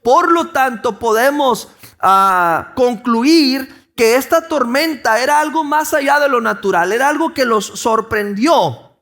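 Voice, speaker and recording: A Mexican pastor with a coastal Sonoran accent preaches a sermon. His voice sits very high for a man. He talks to the congregation conversationally, tossing them questions and restarting phrases as he goes. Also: lively intonation, measured pace, a bit bright. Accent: Mexican Spanish, Sonora coast.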